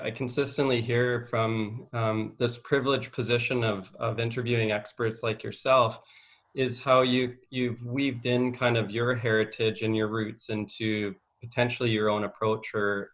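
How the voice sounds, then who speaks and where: A man's voice: medium pitch, fairly even intonation, moderate pace, fairly bright tone, poor audio. A man hosting an interview, asking careful, curious questions. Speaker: interviewer host.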